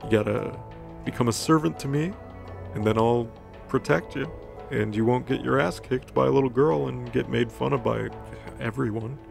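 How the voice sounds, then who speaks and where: A man's voice: medium pitch, moderate pace, gravelly, soft background music. A man voicing a self-important but laid-back dragon lady, casual and self-assured, in a comedic recap. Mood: commanding.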